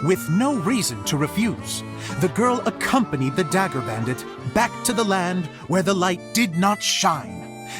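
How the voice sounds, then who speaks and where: A woman voicing a theatrical storyteller and show director in a video game, narrating like a storybook in a measured, expressive way. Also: fairly low pitch.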